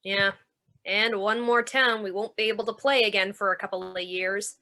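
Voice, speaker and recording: Woman acting a bright-voiced tough carnival boss. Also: mid-range voice, steady tone, normal speed, natural voice. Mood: guarded, businesslike. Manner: brusque, slangy, needling. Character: tough, suspicious carnival general manager. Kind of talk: old-time radio noir acting.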